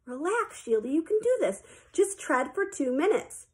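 Woman as an encouraging, high-voiced male swim teacher. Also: lively intonation, moderate pace. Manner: urging, coaxing, emphatic.

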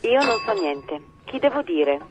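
An actress playing a guarded, formal housemaid in a radio drama. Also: female voice, medium pitch, sing-song, measured pace, noisy background.

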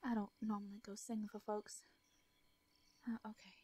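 A woman with a Southern accent voicing a shy, caring hermit farmer woman in a comforting audio roleplay. She speaks softly, stammers and laughs lightly. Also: medium pitch, flat delivery, moderate pace, slightly rough. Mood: tender.

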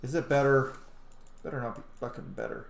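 A man's voice: medium pitch, animated, brisk, gravelly, background noise. A man in his thirties giving casual commentary as he plays through a roleplaying game. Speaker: gaming streamer roleplaying a playthrough. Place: room at home, indoors. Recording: roomy, game music faintly behind.

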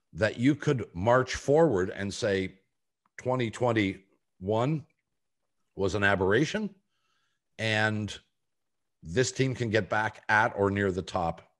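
A man in his sixties hosting a sports talk show, speaking in a deliberate, halting way over a video call. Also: fairly low pitch. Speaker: podcast host. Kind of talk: sports talk, moderating a panel. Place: home office, video call.

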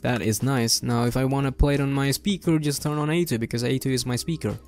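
A young adult man hosting an informal software tutorial, explaining things step by step in a casual way. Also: medium pitch, fast, faint music behind. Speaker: tutorial host. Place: indoor room at a desk.

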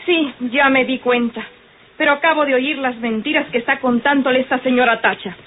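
An actress playing a prim, easily offended building manageress, stiffly formal and husky, in an old radio drama. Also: female voice, high voice, fairly even intonation, fairly quick, a little hiss or hum, natural voice. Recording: tinny vintage radio recording.

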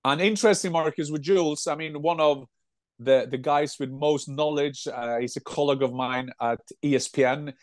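A man in his sixties with a Norwegian accent, co-hosting a casual football chat from home over a video call, animated and given to restarting his words. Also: on the high side, normal speed, no room sound, clean studio sound. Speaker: football pundit and co-host. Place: home room with football shirts.

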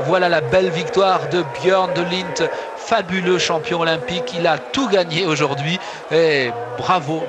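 A man giving live TV commentary on a ski race, excited and shouting over the crowd. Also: fairly high pitch. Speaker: lead sports commentator. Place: commentary booth at a ski stadium. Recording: live broadcast with loud crowd noise. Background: loud cheering crowd.

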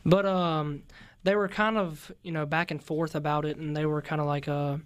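Teen male singer, raspy, slightly high, dry studio sound, shy hesitant answers. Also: level tone, no room sound. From Mississippi-Louisiana border. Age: teens. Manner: polite, halting, many fillers. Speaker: interview guest, singer. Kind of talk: casual interview. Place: radio studio. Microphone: studio mic with headphones.

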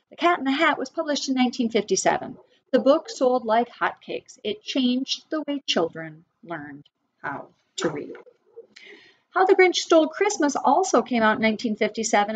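A middle-aged woman reading a children's picture book aloud expressively, with deliberate phrasing for young listeners. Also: fairly high pitch. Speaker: read-aloud storyteller for children. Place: wood-panelled room indoors. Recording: slightly dull webcam sound.

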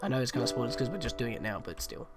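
Animated young man doing game commentary. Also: mid-range voice, racing, loud music behind the voice. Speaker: let's play host.

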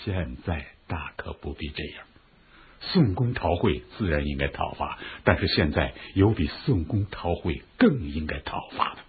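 An actor playing a shrewd, earnest chief minister in a historical radio drama, with a husky voice and formal, measured rhetoric. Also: male voice, very deep voice, big pitch swings, exaggerated voice. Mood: earnest, persuasive. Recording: muffled phone-like radio drama recording.

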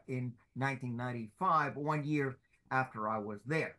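A man in his seventies answering as an expert interview guest, halting and emphatic, on a video call. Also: mid-range voice, pushed and bright. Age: 70s. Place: book-filled home office.

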